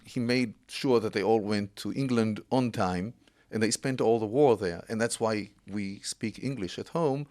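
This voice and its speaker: A man in his sixties from Israel, a podcast guest telling his family history in an unhurried way with many pauses. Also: on the low side, a little mellow. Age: 60s. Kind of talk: casual interview chat.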